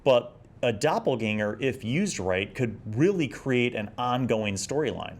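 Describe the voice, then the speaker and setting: A man's voice: mid-range voice, lively intonation, a little slow. A man casually talking through an old gaming magazine as host, with an enthusiastic, rambling manner. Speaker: host of a gaming retrospective show. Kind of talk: casual magazine review with read-aloud passages.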